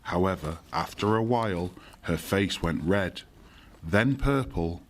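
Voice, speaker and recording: A man's voice: deep voice, expressive, a little slow, a little hiss or hum. A man narrating a fairy tale as the storyteller in an audio drama. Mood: measured, storytelling.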